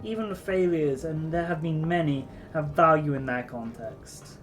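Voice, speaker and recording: A weary, proud middle-aged drone engineer, read aloud by a man in a somewhat high voice. He speaks formally and a little haltingly, every sentence measured. Soft game music plays faintly behind him. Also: natural voice. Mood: reflective. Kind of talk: reading game dialogue aloud.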